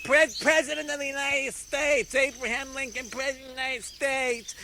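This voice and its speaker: A man playing a doddering, forgetful Abraham Lincoln in comic radio theater, giving a halting, flustered speech full of throat-clearing. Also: very high-pitched, little pitch movement, sharp tone, slight background noise, heavily acted.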